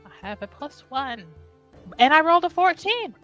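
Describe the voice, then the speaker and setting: A woman's voice: high-pitched, big pitch swings, normal speed, fairly bright tone, muffled recording, soft background music. Giggly woman playing a tabletop game. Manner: giggly, hesitant, talks through ideas. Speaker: player. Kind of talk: tabletop roleplay chat.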